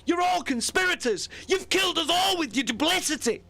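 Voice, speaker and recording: A man voicing a panicked, weeping naval officer who shouts hysterically at his captors. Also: very high-pitched, lively intonation, brisk, creaky, pitched above the performer's own voice, full character voice.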